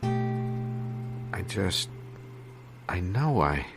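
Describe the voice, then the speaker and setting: Guy doing a wistful hopeless guitar learner, deep slow halting voice, loud guitar playing behind him. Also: sing-song, creaky, exaggerated voice. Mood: discouraged, wistful. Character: dreamy, discouraged male amateur guitar student. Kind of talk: improvised comic monologue over guitar.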